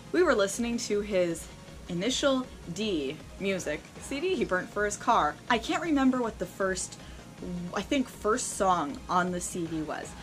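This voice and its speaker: A young woman vlog host telling a personal story casually and unscripted, with theatrical emphasis and dramatic pauses. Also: mid-range voice. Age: young adult. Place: small indoor room lined with shelves.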